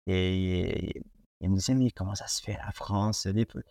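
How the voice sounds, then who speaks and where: A young man with a Portuguese accent talks in French as a podcast guest. His voice sits slightly low, and he hesitates often, filling gaps and restarting sentences. The sound is close and dry. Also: fairly even intonation, moderate pace, creaky, darkish tone, dry recording. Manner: hesitant, many fillers and restarts. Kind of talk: casual interview conversation.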